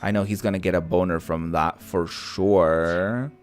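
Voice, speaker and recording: Low voiced guy streaming a game, campy n chatty, music going behind him. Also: fairly even intonation, a little slow. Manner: playful, campy, chatty asides. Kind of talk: casual let's-play commentary, reading dialogue aloud.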